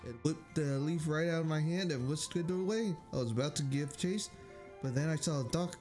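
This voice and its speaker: A man's voice: on the high side, a little mellow, lightly acted. A man voicing a playful, poetic wandering bard, reading game dialogue aloud with whimsical phrasing and small stumbles. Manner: whimsical, flowery, stumbles over read lines. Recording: game music behind.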